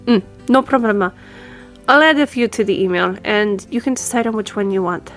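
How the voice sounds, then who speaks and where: A woman's voice: mid-range voice, brisk, soft background music, close to an everyday voice. A woman playing a friendly, businesslike law firm boss in an audio roleplay, speaking conversationally to the listener. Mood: brisk, helpful. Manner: businesslike, conversational, lightly teasing. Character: brisk, friendly female law firm boss giving instructions.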